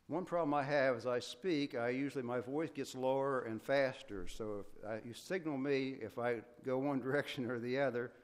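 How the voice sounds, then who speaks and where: An older man, preaching a sermon as a guest speaker, with a soft voice and an earnest, measured delivery that has occasional restarts. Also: medium pitch. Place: church sanctuary.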